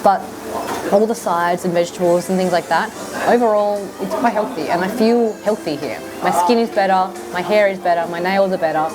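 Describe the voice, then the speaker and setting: A woman's voice: medium pitch, a little fast, poor audio. An Australian woman in her thirties chatting casually to camera in a vlog, rambling with lots of fillers. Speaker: vlogger.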